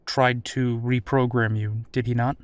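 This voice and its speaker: A man's voice: mid-range voice, little pitch movement, measured pace, very noisy, lightly acted. Polite, probing military captain played by a man. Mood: probing, patient. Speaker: interviewer. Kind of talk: audio drama interview.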